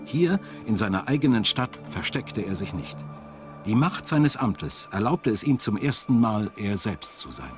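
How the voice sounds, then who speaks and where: A man's voice: fairly low pitch, very animated, measured pace. A man reading scripted narration for a documentary as a studio voice-over. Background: music.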